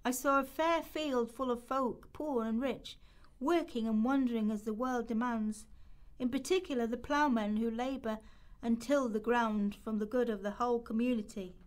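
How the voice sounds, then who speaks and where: A woman's voice: on the high side, moderate pace. A woman reading historical quotations from a script as part of a radio play rehearsal.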